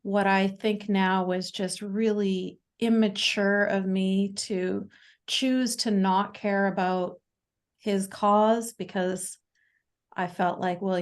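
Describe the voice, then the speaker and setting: A Canadian woman in her forties, a guest speaking in a casual interview, talking haltingly with many fillers and restarts. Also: mid-range voice, fairly even intonation. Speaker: podcast guest.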